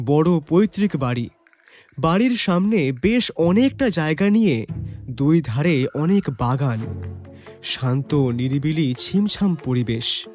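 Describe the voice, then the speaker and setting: A man narrating a detective story as the detective's companion, in an expressive dramatised scripted style. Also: fairly high pitch.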